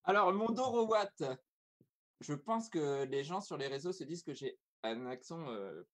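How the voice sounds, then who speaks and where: A woman's voice: sits low, animated, moderate pace. A woman hosting a guessing game in a casual, chatty way. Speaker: quiz host on a youth radio-style talk show.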